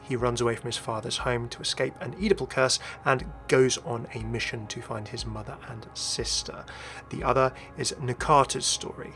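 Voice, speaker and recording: A man's voice: medium pitch, a bit bright. A man presenting explanatory video game commentary as a voice-over. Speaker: video host.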